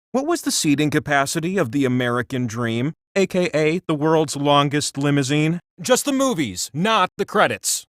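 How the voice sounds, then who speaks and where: A man's voice: high voice, a bit bright, no room sound, clean studio sound. A man voicing an omnipotent talking wheel in a video game, posing trivia questions with theatrical, playful, wry delivery. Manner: theatrical, wry asides, quizzing. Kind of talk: scripted quiz-show narration.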